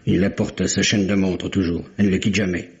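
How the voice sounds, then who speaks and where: Casting: wary tobacco seller, lowish voice, curt and grudging, dull vintage radio sound. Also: male voice, flat delivery, brisk, darkish tone. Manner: curt, guarded, colloquial, grudging. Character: gruff, wary, grudging male London tobacconist. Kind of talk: vintage French radio mystery acting. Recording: dull, muffled old radio recording.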